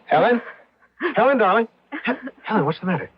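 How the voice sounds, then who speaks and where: Husky, animated married charmer, old radio. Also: male voice, high voice, a little fast. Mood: alarmed. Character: skeptical, flirtatious married man, smooth-talking and uneasy. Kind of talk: old-time radio drama acting. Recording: dull old radio recording.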